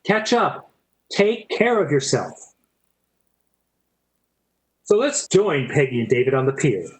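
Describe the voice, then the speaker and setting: Higher-pitched, lively male radio announcer. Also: slow. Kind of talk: scripted sponsor read and narration, old radio style.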